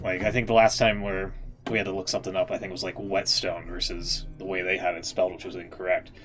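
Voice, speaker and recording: Low-voiced man rambling casually on a livestream. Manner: rambling, hedging, interjecting. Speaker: streamer reacting to game story readings. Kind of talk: casual live commentary, thinking aloud. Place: live stream.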